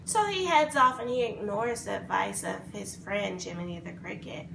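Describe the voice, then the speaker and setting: A woman telling a children's fairy tale in an expressive, dramatic, improvised way. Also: medium pitch, normal speed, gravelly. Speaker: storyteller. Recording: roomy echo, faint background noise.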